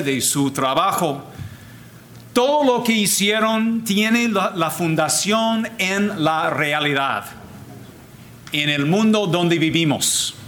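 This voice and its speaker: Slow older man speaking Spanish as a learner. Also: fairly high pitch, a bit bright, slight background noise. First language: English. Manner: deliberate, repeats and restarts words, switches to English phrases. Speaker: Jesuit priest speaker. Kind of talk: slow formal lecture.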